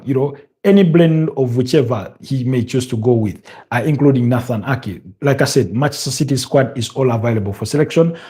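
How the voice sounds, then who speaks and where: A man with a Ugandan accent and a mellow, warm voice at an ordinary middle pitch. He previews football matches in a loose, off-the-cuff manner, stopping often to search for words. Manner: halting, many fillers and restarts. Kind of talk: casual live-stream football talk.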